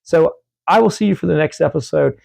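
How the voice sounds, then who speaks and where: A middle-aged man chats casually in a collector's vlog, wandering off topic and circling back. His voice is gravelly, dark and worn from losing it, recorded close and dry with no room sound. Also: a little high, fairly quick, dry recording. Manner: rambling, self-correcting, friendly sign-offs. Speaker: comic book collecting YouTuber. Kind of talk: casual vlog talk to camera.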